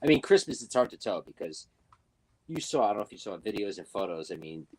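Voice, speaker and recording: Young male guest, low husky voice, rambling casual talker. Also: big pitch swings, fast talker, a little mellow, rough recording. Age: young adult. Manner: rambling, lots of fillers and restarts. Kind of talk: casual chat. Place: New York.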